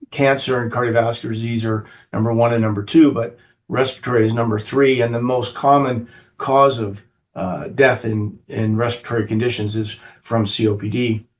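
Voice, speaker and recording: Croaky-voiced man lecturing, slightly distant. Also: medium pitch. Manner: halting, repeats words. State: hoarse from a cold. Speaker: physician speaker. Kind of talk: webinar lecture.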